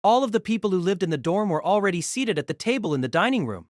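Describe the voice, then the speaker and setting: A man narrating a novel in an audio drama, steady storytelling with lively inflection. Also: high voice, fast, no echo, studio quality.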